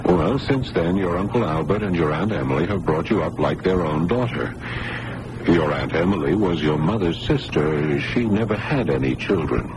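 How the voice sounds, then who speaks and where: An actor playing a patient, kindly hospital doctor who coaxes and reasons gently, often repeating his words, in old-time radio drama style. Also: deep voice, expressive, moderate pace. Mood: kindly, explaining. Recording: noisy vintage radio broadcast recording.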